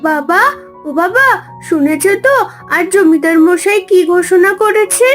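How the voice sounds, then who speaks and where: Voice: extremely high pitch, measured pace, soft background music. A performer voicing an eager, childlike little village girl, an admiring daughter in a cartoon. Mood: eager, coaxing. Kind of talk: Bengali cartoon story acting.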